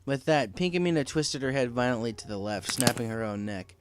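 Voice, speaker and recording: A man's voice: mid-range voice, expressive, fairly quick, noisy background. A man reading a scripted story aloud as the narrator of a piece of fan fiction.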